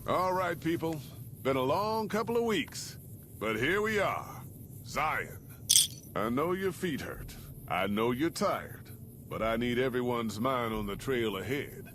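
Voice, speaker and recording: An older man with an American Western drawl, acting a gruff, plainspoken old caravan leader in a video game. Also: mid-range voice, big pitch swings, measured pace, background noise. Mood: rallying. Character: gruff folksy old caravan leader, weathered male storyteller. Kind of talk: video game character dialogue and narration.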